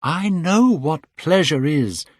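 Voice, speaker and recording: A man voicing an excitable, lovestruck young man, speaking high and eager in a storybook reading. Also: takes it slowly, slightly rough, no room sound, studio quality, heavily acted.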